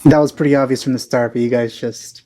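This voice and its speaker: A man's voice: mid-range voice, steady tone, fast talker, warm tone. A man giving casual, wry commentary as a let's play host. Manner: wry, teasing remarks.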